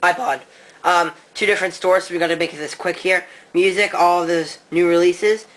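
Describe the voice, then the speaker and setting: A man's voice: high voice, fairly even intonation, normal speed, a bit bright, low-quality recording. A man co-hosting a tech comparison, casually talking through a device demo. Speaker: co-host, tech reviewer. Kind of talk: casual gadget review demo.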